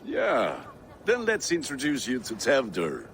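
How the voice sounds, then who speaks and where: A merry, good-hearted blacksmith for a video game. He talks slowly but boisterously, with hugely animated pitch and faint outdoor ambience behind. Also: slight background noise. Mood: friendly. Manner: boisterous, informal. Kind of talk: video game cutscene acting.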